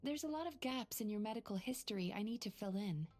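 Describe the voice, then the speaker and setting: A gentle young female game character acted by a woman, fairly high in pitch and composed. She is a sincere, perceptive healer who speaks politely and earnestly over soft background music. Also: lively intonation, quick pace, close to an everyday voice. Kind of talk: video game voice acting.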